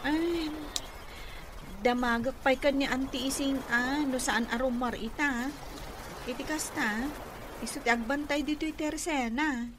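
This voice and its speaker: A woman's voice: high-pitched, normal speed, long pauses, lightly acted. A woman with a northern Philippine accent plays a polite, sweet young woman in radio comedy drama, in a coy manner. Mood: polite, shy. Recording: faint background noise.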